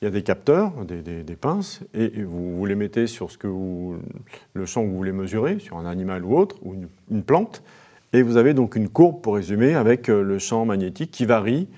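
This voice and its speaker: A man's voice: lowish voice, big pitch swings, a little fast, warm tone. A man hosting a conversational interview, explaining in a chatty way and tacking tag questions onto his remarks. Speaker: host.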